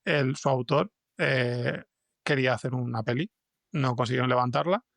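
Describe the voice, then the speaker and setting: Male podcast guest, Spain accent, gravelly and quick, halting conversational storyteller, dry close sound. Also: mid-range voice, fairly bright tone, no echo. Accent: Spanish (Spain). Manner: halting, restarts sentences often. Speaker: podcast fiction writer, interview guest. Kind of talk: conversational interview, anecdotal storytelling.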